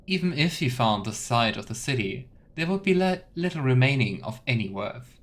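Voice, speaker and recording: A man's voice: medium pitch, expressive, normal speed. A man streaming a game, giving casual commentary, talking to chat and reading in-game text aloud. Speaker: streamer and let's player. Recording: faint game ambience behind voice. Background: faint video game ambience.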